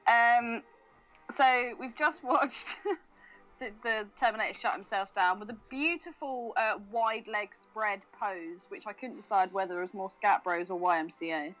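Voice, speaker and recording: A woman's voice: medium pitch, a little slow, sharp tone, soft background music, low-quality recording. A woman co-hosting a podcast, chatting casually about a film in a giggly, sweary way. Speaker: podcast co-host.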